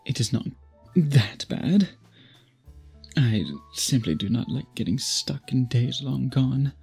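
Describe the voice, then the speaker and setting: A man playing a centuries-old vampire suitor in an intimate ASMR audio drama, speaking in formal, archaic, deliberate phrases with a breathy, husky voice. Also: medium pitch, darkish tone, soft background music, full character voice. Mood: reticent, polite. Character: centuries-old male vampire suitor, possessive, courtly, brooding.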